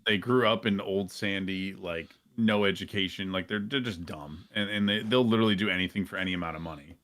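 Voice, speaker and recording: A man's voice: low voice, fairly quick, fairly bright tone. A man talking casually as a guest on a podcast. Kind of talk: casual chat.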